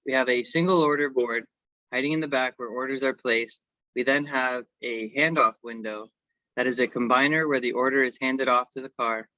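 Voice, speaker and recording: A man's voice: mid-range voice, fairly even intonation, moderate pace, pushed and bright, dry recording. A man giving a semi-scripted technical presentation as an engineering consultant, on a slightly dull recording.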